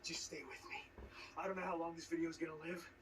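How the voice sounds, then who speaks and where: An actor playing a secretive, paranoid man who films an urgent warning, hushed and repeating himself. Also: a little high, flat delivery, fast, far from the mic, heavy background noise, close to an everyday voice. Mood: urgent, conspiratorial.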